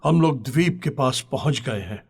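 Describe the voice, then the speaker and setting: A man's voice: medium pitch, lively intonation, normal speed, vocal fry, a little mellow, husky. A man playing a submissive ship's captain in a dramatised audio story, with a theatrical delivery. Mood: announcing. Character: male ship's captain, defeated and submissive seafarer.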